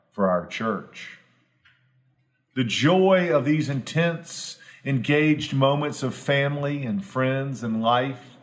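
A man, a pastor giving an earnest, homespun devotional talk that builds to emphatic repetition. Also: mid-range voice. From Southwest Virginia, US. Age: 40s.

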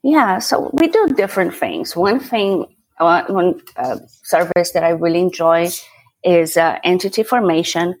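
A woman's voice: lowish voice, expressive, a little slow, a little mellow, no room sound, poor audio. A middle-aged woman from Brazil with a Portuguese accent, speaking as an interview guest in an easygoing way, repeating words as she thinks. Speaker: guest, tax attorney and CPA. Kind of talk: casual interview talk.

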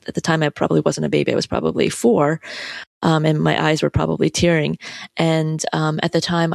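A novelist in their thirties, raised in the United States, speaks in an even, level tone with a gravelly edge. They think aloud in a loose, reflective way, filling pauses and adding asides. The recording is close and dry. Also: mid-range voice, no room sound. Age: 30s. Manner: thoughtful, loose, many fillers and asides. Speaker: novelist being interviewed. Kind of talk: reflective interview talk.

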